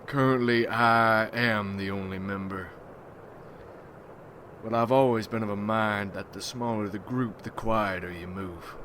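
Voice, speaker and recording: A man playing a gruff, ruthless, wary vampire hunter in an ASMR roleplay, with a folksy, brooding, rough-spoken manner. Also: lowish voice, pushed and bright. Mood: matter-of-fact. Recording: noisy ambience behind the voice.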